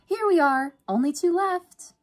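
A woman voicing an endlessly cheerful, overenthusiastic older sister in a romance novel, with squeaky, gushing delivery. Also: lively intonation, a little slow. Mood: gushing delight. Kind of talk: audiobook character voice in a romance novel.

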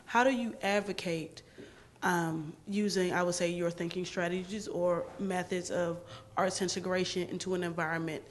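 A woman in a lecture audience, an art teacher asking a long question hesitantly with many pauses. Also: lowish voice, a little hiss or hum.

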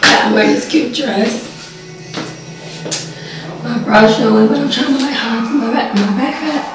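Hoarse young woman vlogging on her phone. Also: on the high side, measured pace, over music. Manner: chatty, talks straight to the camera. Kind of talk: casual vlog chat. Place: shops, restaurants and home, indoors and out. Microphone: handheld phone at arm's length.

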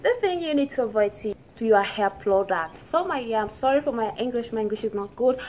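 A young woman from Tanzania with a Tanzanian accent, Swahili her first language, talking to camera about hair care in careful, halting English with many restarts. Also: fairly high pitch, expressive, darkish tone, a little hiss or hum. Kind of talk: casual talk to camera. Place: indoors, plain plastered wall.

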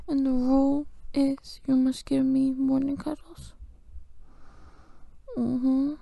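A woman's voice: high voice, vocal fry, dark tone, no echo, exaggerated voice. A woman playing a clingy, playfully bossy girlfriend in an improvised ASMR roleplay, murmuring drowsily close to the mic and often dropping to a whisper. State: sleepy.

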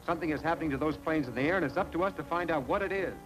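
An urgent, decisive young American pilot hero, acted by a man in a vintage film serial. His voice sits fairly high, and music plays under the old 1940s soundtrack. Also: very fast, natural voice, rough recording.

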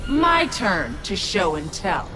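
A woman's voice: medium pitch, sing-song, slow pace. A woman voicing an imperious, mocking ancient soul-devouring witch in a video game. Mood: menacing. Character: haughty, menacing ancient witch who devours souls. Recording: loud music behind studio game voice.

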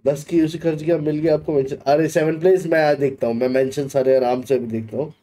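Indian man, mellow higher-pitched streamer chat. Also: steady tone, fast talker. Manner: informal, code-switches between Hindi and English. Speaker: gaming live streamer. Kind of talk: casual livestream chat, reading and answering viewers. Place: small gaming room indoors.

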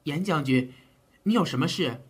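An actor playing an earnest, caring but easily flustered physician of ancient China in a period audio drama. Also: male voice, a little high, expressive, moderate pace, background noise, natural voice. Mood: concerned.

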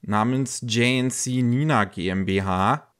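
A man co-hosting a podcast, talking casually and haltingly, slightly congested from a cold. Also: fairly low pitch, clear, resonant tone. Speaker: podcast co-host. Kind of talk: casual news podcast talk.

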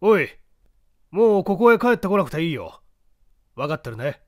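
A man voicing a composed, commanding gang leader of gentleman thieves in a storybook reading, curt and self-assured. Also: sits high, sing-song, normal speed, no room sound, studio quality.

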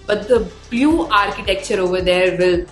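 A woman's voice: medium pitch, moderate pace. A young woman with an Indian accent narrating a travel vlog as its presenter. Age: young adult. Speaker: travel vlogger. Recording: roomy, music underneath. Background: background music.

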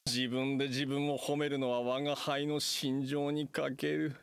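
A man's voice: medium pitch, flat delivery, measured pace, vocal fry, clean tone. A man playing a pompous fake immortal sage in a theatrical anime game style, with grand archaic phrasing. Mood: grandiose. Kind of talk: Japanese anime game acting.

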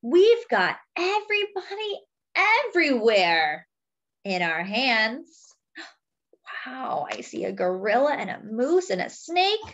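A woman's voice: a little high, big pitch swings, slow, a bit bright, highs cut off. A woman reading a picture book aloud to young children, in simple phrases as a children's storyteller.

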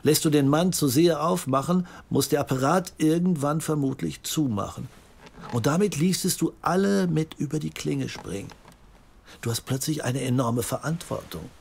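An actor playing an intrigued, eloquent questioner in an audio drama, savouring words and probing. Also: male voice, fairly high pitch, moderate pace, gravelly, darkish tone, husky. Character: intrigued, eloquent male listener, theatrical and probing. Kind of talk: naturalistic German audio drama acting.

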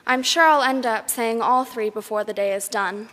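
A young woman, a graduating student, gives a prepared commencement speech in a measured, formal way. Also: a little high, fairly bright tone. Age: young adult. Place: large concert hall, speaking at a graduation ceremony. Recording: echoey large hall PA.

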